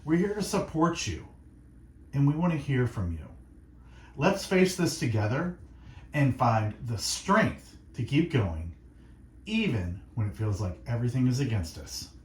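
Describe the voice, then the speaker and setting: Earnest male radio host, very expressive pitch. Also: reverberant, slight background noise. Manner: earnest, reflective, speaking in short deliberate phrases. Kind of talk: faith-based encouragement monologue on music radio. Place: radio studio.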